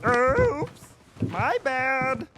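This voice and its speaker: Storybook giant squid kid: clumsy, good-natured, high and extremely slow, breathless. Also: male voice, very animated, noisy background, exaggerated voice. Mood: sheepish. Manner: breathless, drawn-out. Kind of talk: children's storybook read aloud.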